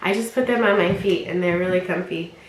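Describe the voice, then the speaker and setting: A woman's voice: on the low side, a little fast, reverberant, low-quality recording. A young woman talking casually in her vlog, bubbly and rambling and laughing often, her nose stuffed up from allergies. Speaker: fashion unboxing vlogger.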